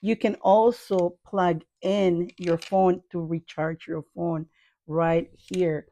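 Low-voiced woman chatting playfully. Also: fairly even intonation, slow, dark tone. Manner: playful, addresses viewers affectionately, repeats phrases. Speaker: video host reviewing products. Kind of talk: casual chatty product review. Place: small cluttered room indoors.

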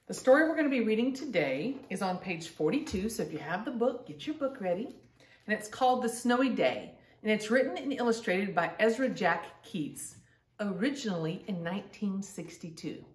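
A middle-aged woman hosting a storytime, reading a picture book aloud and chatting directly to young listeners in simple words. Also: mid-range voice. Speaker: storytime host reading to grandchildren. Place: living room at home, indoors. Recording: roomy echo, distant microphone.

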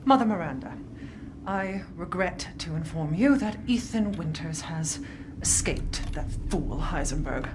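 An actress playing a haughty, menacing aristocratic mother in a video game, theatrical and condescending in her drawn-out delivery. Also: sits low, animated, background noise. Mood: restrained, formal. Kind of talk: dramatic video game acting. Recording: game cutscene with music behind.

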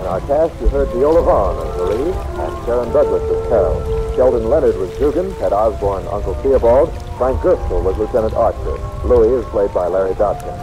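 Lively American old-time radio announcer man. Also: lowish voice, a little fast, few pauses, poor audio. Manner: polished, formal, promotional. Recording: vintage radio broadcast recording. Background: music, rain ambience.

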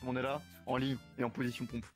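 A man's voice: medium pitch, level tone, quick pace, soft background music. A man improvising a roleplay as a drill trainer, bossily barking orders.

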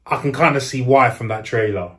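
A man's voice: mid-range voice, a little fast, fairly bright tone. Thirties co-host, average male voice, rambling casual delivery. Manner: rambling, restarts, chuckling asides. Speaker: regular co-host. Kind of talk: casual group reaction chat. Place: indoor room on a sofa.

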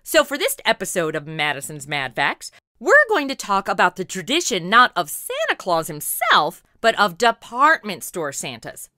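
American female podcast host, perky and slangy, very lively intonation, dry close sound. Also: medium pitch, normal speed, hardly any pauses, dry recording. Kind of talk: scripted, playful podcast interview.

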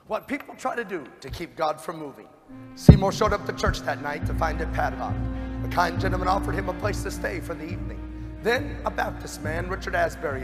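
Stage monologue: dignified revival witness played by a man, high voice, dramatic and solemn, reverberant theatre with music. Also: close to an everyday voice. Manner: solemn, dramatic, preacherly emphasis. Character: fervent early-1900s revival witness, dignified gentleman narrator. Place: stage of a large dark theatre. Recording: echoey hall, music behind.

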